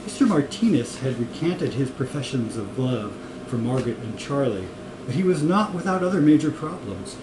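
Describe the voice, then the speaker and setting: A man's voice: medium pitch, sing-song, moderate pace. A man reading a comic story aloud in an amused way. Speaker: reader of a comic novel. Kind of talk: story reading with acted dialogue. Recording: echoey room with clear noise.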